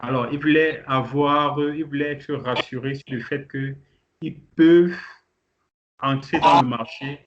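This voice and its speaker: A man leading an online training kickoff meeting, presenting to participants with many hesitations and restarts. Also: mid-range voice. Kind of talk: presenting to participants on a video call. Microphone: video call. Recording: muffled call audio with faint noise.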